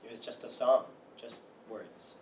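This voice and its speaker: A man in a staged play reading playing a troubled, defensive psychiatric patient, halting and agitated. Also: medium pitch, natural voice. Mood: guarded, resigned. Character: troubled, defensive male mental patient with violent past. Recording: echoey room, distant mic, faint noise.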